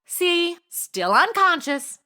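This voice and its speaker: A woman's voice: extremely high pitch, big pitch swings, slow, sharp tone, exaggerated voice. A woman acting as a sassy, flippant modern young woman in a comedy audio drama, sarcastic and exclamatory. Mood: flippant, complaining.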